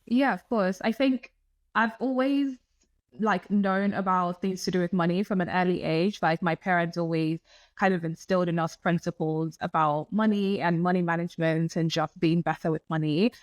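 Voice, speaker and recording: A woman's voice: lowish voice, no echo, highs cut off. Nigerian-raised woman in her twenties, dry close sound. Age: 20s. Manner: fluent, frequent "like" fillers. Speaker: guest, personal finance content creator. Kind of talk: conversational interview answers.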